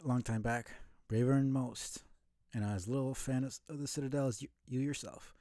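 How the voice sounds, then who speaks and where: Man voicing a jaded, mellow game bug. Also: mid-range voice, steady tone, normal speed, no echo, studio quality, natural voice. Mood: wistful, scornful. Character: jaded, scornful bug character, blunt and world-weary. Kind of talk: video game dialogue read aloud.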